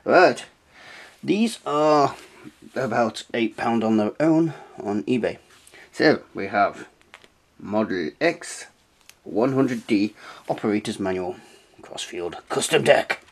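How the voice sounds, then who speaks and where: A man's voice: medium pitch, animated, slow pace, long pauses. A British man talking informally and chattily through a casual hands-on review and tutorial as the host. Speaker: host of a retro tech review channel. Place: small cluttered indoor room at a desk.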